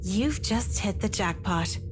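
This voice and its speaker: A woman's voice: mid-range voice, lively intonation, slow pace, music in the background. A woman voicing an earnest, grieving young heroine in a visual novel game. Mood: wry, self-talk.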